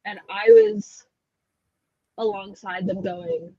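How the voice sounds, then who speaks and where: Slightly husky woman hosting a chat. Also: mid-range voice, sing-song, slow, dark tone, dry recording, dull audio. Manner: chatty storyteller with wry asides. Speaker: host. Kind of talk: casual chat.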